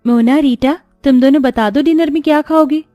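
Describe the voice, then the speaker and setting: A woman's voice: high-pitched, normal speed, dark tone, no room sound, clean studio sound, faint music behind, natural voice. A woman playing a kind, mistreated young stepsister in a moral story, meek and pleading. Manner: pleading, submissive. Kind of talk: animated Hindi moral story acting.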